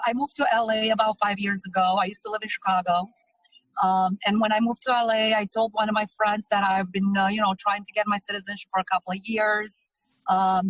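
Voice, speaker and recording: A woman's voice: mid-range voice, fairly bright tone, no echo, low-quality recording. A woman in her thirties, originally from Romania, talking in a loose, chatty way with frequent hesitations as an interview guest. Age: 30s. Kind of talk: casual testimonial interview.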